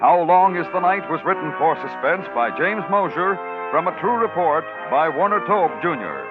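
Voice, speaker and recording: A man's voice: fairly high pitch, expressive, moderate pace. A man reading scripted show announcements in 1950s radio style, with music behind. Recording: dull lo-fi 1950s radio recording.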